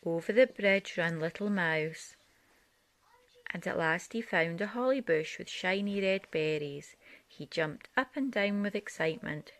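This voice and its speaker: A woman's voice: lowish voice, animated, measured pace, fairly bright tone. A woman narrating a children's picture book aloud as a storyteller.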